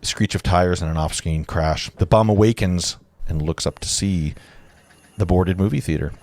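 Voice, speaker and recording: A man's voice: deep voice, moderate pace, a little mellow, dry recording. A man narrating stage directions at a live table read, informally, breaking off now and then to comment. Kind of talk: casual live script read-through.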